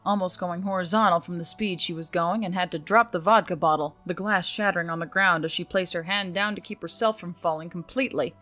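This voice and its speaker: A woman's voice: medium pitch, fairly bright tone. Woman narrating fiction over music.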